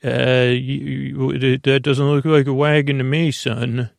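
An officious male authority figure, put on by a podcast storyteller, creaky and close in a dry space. He questions and challenges in halting bursts, like a self-important gym teacher. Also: mid-range voice, little pitch movement, no room sound. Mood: suspicious, officious.

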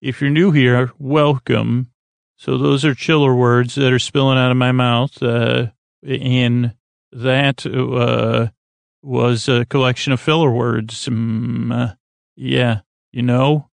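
American man, creaky lulling voice, dry close sound, halting bedtime monologue, meandering, full of fillers and stumbles. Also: medium pitch, flat delivery, dry recording. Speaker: host of a bedtime sleep podcast. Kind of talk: rambling bedtime monologue meant to lull listeners to sleep.

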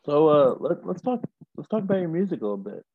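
A man's voice: fairly high pitch, sing-song, fast, slightly rough, phone-quality sound. A man hosting a podcast interview, asking easygoing questions and giving short nods of agreement. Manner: brief affirmations, easygoing questions. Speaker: podcast host. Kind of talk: casual interview.

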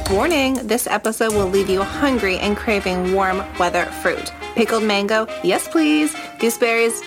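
A woman hosting a podcast, moving between a scripted introduction and interview questions. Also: medium pitch.

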